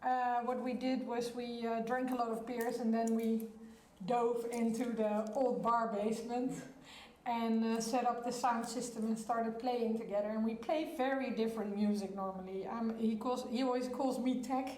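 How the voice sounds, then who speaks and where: A woman's voice: fairly high pitch, little pitch movement, normal speed, darkish tone. A woman who works as a DJ and club founder, chatting casually in an interview. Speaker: DJ, club founder and label owner being interviewed. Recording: echoey room with faint background noise.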